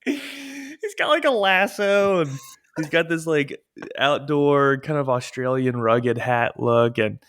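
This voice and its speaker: Booming-voiced man in loose podcast chat. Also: expressive, takes it slowly, a bit bright, dry recording, studio quality. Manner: laughs often, loose and rambling. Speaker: podcast co-host. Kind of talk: casual chat. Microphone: home podcast mic.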